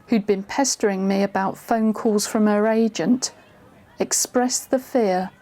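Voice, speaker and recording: Mellow actress as a female storyteller. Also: mid-range voice, fairly even intonation, a little slow, natural voice. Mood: suspenseful narration. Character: female storyteller recalling a tense witnessed drama. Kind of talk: scripted first-person drama narration.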